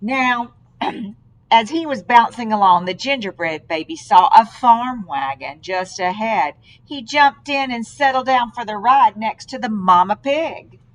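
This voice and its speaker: A woman reading a children's story aloud in an expressive way, with dramatic, deliberate phrasing. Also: a little high, rough recording. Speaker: storybook reader.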